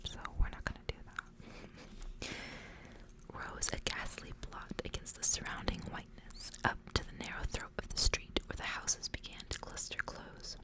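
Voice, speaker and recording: A woman whispering in ASMR style, as a creator reading to listeners.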